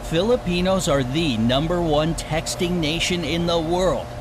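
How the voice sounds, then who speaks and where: A man's voice: on the high side, measured pace, noisy background. A man reading the scripted narration of an explainer video in an upbeat, punchy way.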